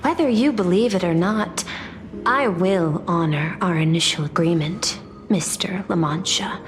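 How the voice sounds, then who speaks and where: An actress playing an imperious, otherworldly noble lady in a video game, speaking in a measured, solemn, regal manner. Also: lowish voice, lightly acted. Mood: solemn, lofty. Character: imperious, otherworldly noble lady, cool yet admiring of humans. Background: background music.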